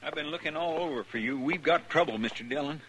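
A bright, raised male voice as an earnest, anxious American deputy figure in a radio western. There is a faint old-broadcast hiss. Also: a little high, quick pace, low-quality recording. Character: earnest, anxious marshal's helper bringing news. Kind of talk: 1950s radio western acting.

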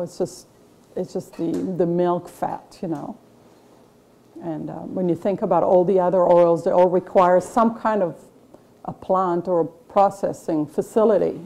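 A woman's voice: on the low side, mellow. A middle-aged woman chef giving a casual cooking demonstration in a large kitchen classroom, chatty and explanatory with lots of fillers.

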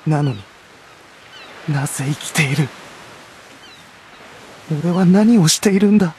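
An actor voicing a proud, despairing young fallen knight in a Japanese game, grave, stern and dramatic. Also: male voice, a little high, expressive, a little slow, a little mellow, slight background noise. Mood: self-loathing. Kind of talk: Japanese anime game voice acting.

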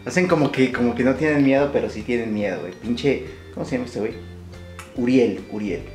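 A man's voice: medium pitch, fairly quick, darkish tone. A young adult man with a Mexican accent chatting casually and playfully to viewers as a vlog host, slangy and often repeating himself. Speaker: YouTube prankster host. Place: small indoor room with shelves. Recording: roomy echo, light music behind.

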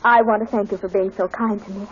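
A woman acting a polite, strong-willed young woman in an old radio mystery. She speaks rapidly in a husky, fairly high voice on a muffled recording. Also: fairly even intonation, lightly acted. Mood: grateful. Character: polite, determined young woman, twenties, defiant daughter. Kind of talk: 1940s radio drama acting.

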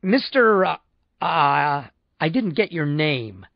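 An unctuous male insurance salesman from a novel, put on by a male narrator. He is fussy, pompous and easily shocked, with deference in a high, animated voice that swings widely. The recording is dry. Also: slow pace, gravelly, no room sound, muffled recording, full character voice. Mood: flustered, earnest.

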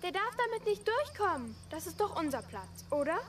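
A woman's voice: extremely high pitch, big pitch swings, a little fast, distant mic, slight background noise. A female performer playing a sullen, defiant young girl in a radio play. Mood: curious, defiant. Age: child.

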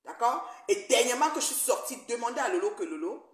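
A woman in her forties, recounting events in an animated, indignant way while seated in her living room on a phone call. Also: fairly high pitch, fast. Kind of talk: video call discussion. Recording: roomy echo.

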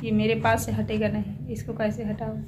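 A woman's voice: fairly high pitch, completely flat delivery, normal speed. A middle-aged woman chatting casually and confidingly to viewers at home, rambling, sounding unwell, tired and slightly breathless. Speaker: small family vlogger. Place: at home, alone. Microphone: handheld phone. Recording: clearly noisy handheld phone recording.

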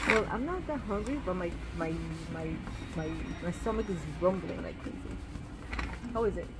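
A young woman chatting casually and excitedly to her phone camera while shopping and then eating out. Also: mid-range voice, normal speed. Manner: chatty, excited, exclaims over cute things. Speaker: vlogger. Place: busy store and fast-food restaurant. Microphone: handheld phone camera. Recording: noisy public place ambience.

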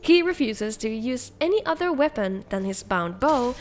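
A woman's voice: on the high side, expressive, normal speed, a little hiss or hum. A woman hosting a video, reading scripted narration in an upbeat, enthusiastic presenting manner. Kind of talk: scripted narration of game mod showcase.

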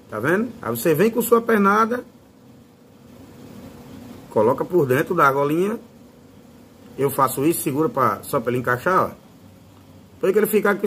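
A man's voice: fairly high pitch, a bit bright, slightly rough. A man with a Northeastern Brazilian accent giving a casual, informal hands-on tutorial as a fishing channel host. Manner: informal, fond of diminutives.

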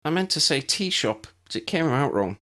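A man's voice: a little high, big pitch swings, moderate pace, bright, forceful tone, voice pushed up. A man voicing a small, shy, curious young schoolgirl in a storybook, earnest and questioning. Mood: sheepish.

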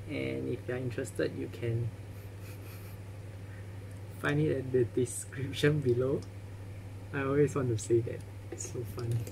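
Slow-talking Singaporean young man. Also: mid-range voice, gravelly, mellow, slight background noise, poor audio. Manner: self-conscious, thinks aloud, chuckles often. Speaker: vlogger. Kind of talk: casual self-filmed vlog. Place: cluttered home workshop room. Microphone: phone camera with clip mic.

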